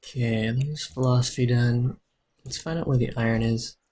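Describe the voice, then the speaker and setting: Soothing-voiced man calmly narrating his game. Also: medium pitch, normal speed. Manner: calm, explaining his moves as he plays. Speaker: game streamer. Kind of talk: live game commentary.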